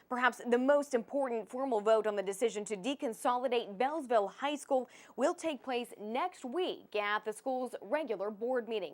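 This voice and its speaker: American female TV news reporter, higher-pitched, scripted narration.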